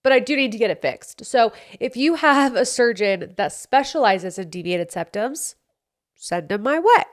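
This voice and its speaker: A woman's voice: on the high side, animated, normal speed. A young woman hosting her own podcast, chatting candidly and playfully about her week, her voice a bit congested. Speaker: podcast host. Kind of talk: casual solo podcast chat. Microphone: studio mic.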